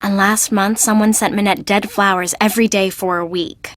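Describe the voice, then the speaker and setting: A woman's voice: medium pitch, fairly even intonation, normal speed, close to an everyday voice. A woman playing a chatty young fashion assistant in a video game, confiding and gossipy.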